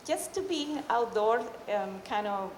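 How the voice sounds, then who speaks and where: Slow-speaking Peruvian woman in an echoey hall. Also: fairly high pitch, lively intonation. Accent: Peruvian Spanish. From Peru. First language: Spanish. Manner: halting, informal delivery. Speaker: amateur storyteller. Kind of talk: live personal storytelling. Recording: echoey hall, faint background noise.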